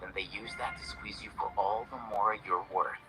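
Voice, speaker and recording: Low-voiced, aloof young scholar. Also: male voice, normal speed, soft background music. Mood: cool, measured. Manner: deadpan, precise, formal. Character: composed, aloof young male scholar, coolly confident. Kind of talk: video game voice acting.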